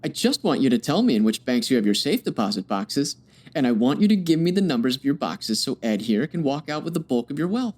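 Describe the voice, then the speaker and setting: A man's voice: mid-range voice, big pitch swings, fairly quick, darkish tone. A man playing a gloating, mock-courteous villain with theatrical radio drama delivery.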